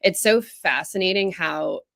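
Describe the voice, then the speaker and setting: A woman's voice: lowish voice, measured pace, fairly bright tone. A woman co-hosting a podcast interview, asking rambling, hedging questions in casual chat. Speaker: podcast co-host.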